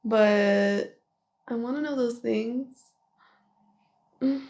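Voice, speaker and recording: A woman playing a flustered young office worker in an intimate ASMR roleplay. Her voice is slightly high with plenty of creak, and she speaks slowly and haltingly, nervous, giggly and self-deprecating as she rambles toward a confession. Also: darkish tone. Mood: nervous, curious.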